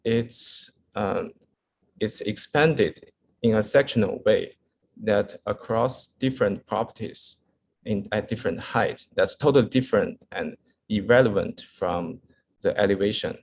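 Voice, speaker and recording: Low-voiced, halting Chinese-accented man lecturing. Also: gravelly, a little hiss or hum. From Wuhan, China. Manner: hesitant, halting, searching for words. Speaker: architect giving a guest lecture. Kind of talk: informal slide lecture.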